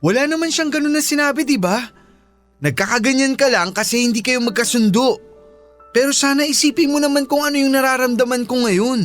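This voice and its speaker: An apologetic young Filipino boyfriend. His voice sits very high for a man, with a placating, pleading delivery in radio drama style over faint music. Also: a little fast, a bit bright. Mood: placating, upset.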